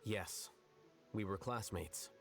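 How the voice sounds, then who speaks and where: An actor playing an aloof, blunt young scholar in a video game, deadpan, precise and formal. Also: male voice, on the low side, takes it slowly, gravelly, soft background music, exaggerated voice.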